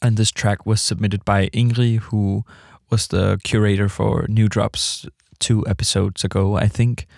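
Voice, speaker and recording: A man hosting a music show, casually introducing tracks in a hesitant, halting way. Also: lowish voice, little pitch movement, gravelly, no echo, studio quality.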